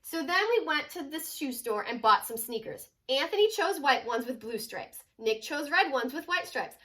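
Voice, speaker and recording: A woman with a high, bright voice reads a storybook to children in the manner of a teacher. Her delivery swings widely in pitch, leaning dramatically on key words. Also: expressive, normal speed. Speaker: teacher reading aloud to children. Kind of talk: expressive children's picture-book read-aloud.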